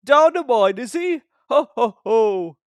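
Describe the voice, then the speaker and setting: Man playing a big male express engine, pompous, hearty, grand, blustering, very varied pitch, storybook character. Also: animated, slow pace, clean tone, no echo, studio quality, full character voice. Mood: mocking amusement.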